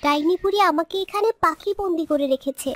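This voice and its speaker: A woman's voice: very high voice, fast, slight background noise. A woman voicing an earnest, helpful young fairy trapped as a bird in a children's fairy tale cartoon. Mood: sorrowful, earnest.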